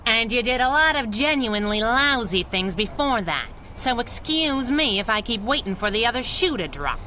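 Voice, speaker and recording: An actress playing a tough, wisecracking young masked heroine in a 1930s-style radio drama. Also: female voice, fairly high pitch, animated, normal speed, bright, forceful tone, a little hiss or hum. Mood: brash, scolding. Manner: wisecracking, needling, slangy. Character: tough, sassy young masked female crimefighter.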